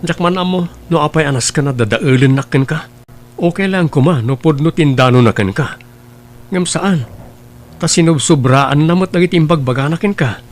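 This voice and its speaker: A man's voice: fairly high pitch, moderate pace, slight background noise, lightly acted. A man playing an earnest, pleading young suitor in a radio drama, a reformed womanizer insisting he is sincere. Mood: defensive, sincere.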